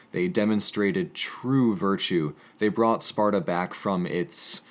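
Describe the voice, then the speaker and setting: A young man speaking as a presenter of history and poetry, earnest and emphatic in an educational delivery. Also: deep voice. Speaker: presenter reading and explaining poetry. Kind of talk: casual educational commentary with dramatic poetry reading.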